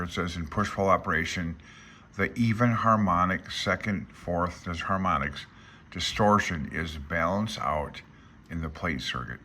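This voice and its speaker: Very deep-voiced man, informal explainer. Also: bright, forceful tone, a little hiss or hum. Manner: folksy, offhand, thinking aloud. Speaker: instructor reading through a radio handbook. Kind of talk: informal explanation mixed with reading aloud.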